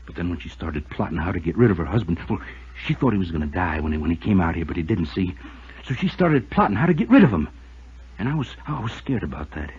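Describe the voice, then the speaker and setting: A man's voice: sits low, very animated, quick pace, darkish tone, slightly rough, heavily acted. An actor playing a desperate, unhinged lovesick man confessing to murder in a 1950s radio drama, pleading, agitated and stammering. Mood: anguished confessing. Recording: muffled tinny old radio recording.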